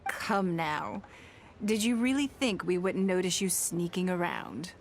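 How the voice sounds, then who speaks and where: A woman's voice: fairly low pitch, expressive, unhurried, slight background noise. An actress playing a shrewd, poised noblewoman in a video game, composed and authoritative. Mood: cool, threatening. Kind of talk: scripted video game dialogue.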